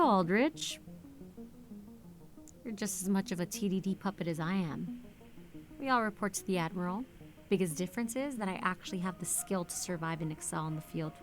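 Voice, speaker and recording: A woman's voice: mid-range voice, lightly acted. Cool, defiant lieutenant played by a woman. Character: defiant, self-assured female lieutenant and operative. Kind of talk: dramatic scripted acting.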